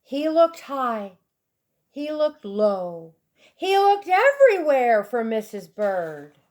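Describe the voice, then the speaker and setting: A woman reading a children's storybook aloud expressively, with deliberate, dramatic emphasis for young listeners. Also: high-pitched, huge pitch swings, a little mellow. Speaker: storybook reader.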